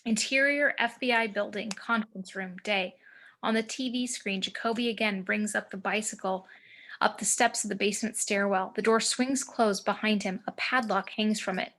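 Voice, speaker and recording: A woman's voice: medium pitch, moderate pace, sharp tone. A woman reading screenplay action descriptions as narrator of a table read over a video call. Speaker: narrator reading stage directions.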